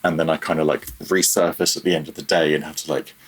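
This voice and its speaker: A man's voice: extremely low pitch, little pitch movement, fast, breathy edge. A middle-aged British man chatting casually as an interview guest, rambling informally and trailing off mid-thought. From United Kingdom. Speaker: interview guest, freelance audio programmer. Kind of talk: casual chat.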